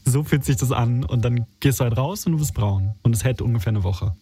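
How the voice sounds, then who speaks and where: A man's voice: medium pitch, a little fast, a crowd in the background. A man in his twenties from Berlin leading a casual conversation, chatty and informal with youthful slang. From Berlin, Germany. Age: 20s. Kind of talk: casual interview chat.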